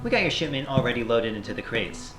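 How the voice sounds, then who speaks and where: A man's voice: medium pitch, very animated, quick pace, natural voice. A man playing a deferential, ingratiating dockside drug gang henchman in an audio drama. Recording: loud music behind the voice.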